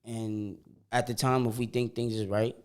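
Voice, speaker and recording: A man's voice: mid-range voice, normal speed, smooth. Slangy young man telling stories animatedly. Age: 20s. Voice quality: repeats words when starting sentences. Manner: animated storytelling, slangy, often acts out quotes. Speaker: podcast co-host. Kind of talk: casual chat.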